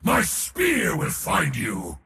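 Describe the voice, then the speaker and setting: A man voicing a proud, boastful god of war for a video game, gravelly, pompous and theatrical in delivery. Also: fairly high pitch, huge pitch swings, slow pace, sharp tone, in a large hall, exaggerated voice. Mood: haughty, taunting. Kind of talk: video game character voice lines.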